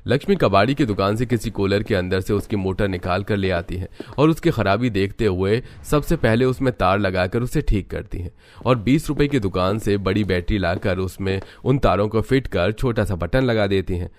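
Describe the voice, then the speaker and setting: Male narrator for a cartoon tale, fairly deep, reading scripted story. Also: moderate pace, no echo.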